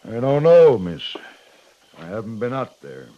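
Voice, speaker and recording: An American actor playing a headstrong, blunt cavalry captain with clipped military formality, in a 1950s radio western. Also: male voice, medium pitch, sing-song, measured pace. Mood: formal, reserved. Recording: dull lo-fi 1950s radio recording.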